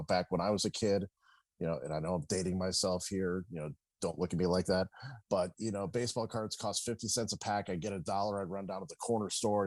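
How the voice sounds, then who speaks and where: A man's voice: deep voice, darkish tone, no room sound. A middle-aged man chatting casually as a podcast guest, bantering and peppering his talk with "you know". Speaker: guest, baseball public address announcer.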